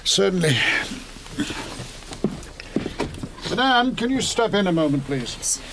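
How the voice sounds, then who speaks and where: An actor playing a concerned gentleman, master of the house, in a period audio drama, formal and polite. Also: high-pitched, very animated, moderate pace, noisy background.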